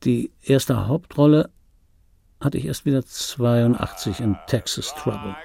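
An actor playing a laconic, melancholic middle-aged dubbing actor, telling his story in a husky voice as an intimate radio monologue. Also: medium pitch, mellow. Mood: wry, bitter. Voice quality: husky, gravelly. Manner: laconic, dry, deliberate. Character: wry, melancholic, self-regarding ageing male film dubbing actor.

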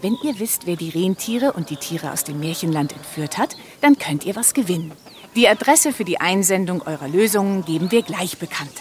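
An actress playing a brisk, cheerful investigator who addresses young listeners in a children's radio play. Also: female voice, on the low side, lively intonation, a little mellow, slight background noise, natural voice. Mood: friendly.

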